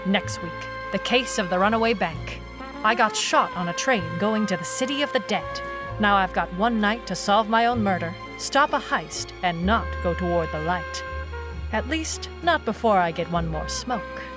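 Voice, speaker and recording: A woman's voice: mid-range voice, expressive, normal speed, over music, lightly acted. An actress playing a tough, defiant female private eye, narrating a noir audio drama in a cool, clipped manner. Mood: wry, inviting.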